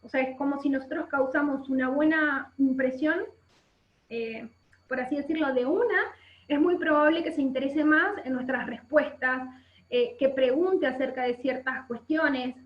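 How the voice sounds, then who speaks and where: A woman's voice: sits high, normal speed. A woman with an Argentine accent giving an explanatory online workshop, often checking in with short questions, over a video call. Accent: Argentine Spanish. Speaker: workshop instructor. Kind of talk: instructional webinar talk. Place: indoor room by a window. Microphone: webcam video call. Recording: muffled, echoey video-call audio.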